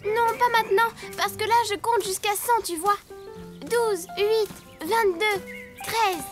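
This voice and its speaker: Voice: extremely high pitch, slow pace. A performer voicing a bubbly, busy little child donkey in a children's cartoon, childlike and emphatic. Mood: busy, concentrating. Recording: music clearly behind the voice.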